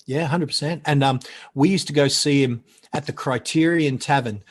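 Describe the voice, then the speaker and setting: A man chatting as a podcast guest, loud and animated, rambling through stories and correcting himself as he goes. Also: fairly high pitch, normal speed. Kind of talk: casual chat.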